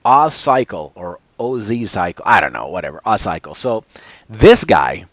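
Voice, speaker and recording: A man's voice: on the low side, very animated, normal speed, dry recording. A man hosting a cycling channel, chatting casually and rambling with many fillers and restarts, in a voice he says people call boring. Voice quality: described as boring-sounding. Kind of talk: casual chat reviewing channels.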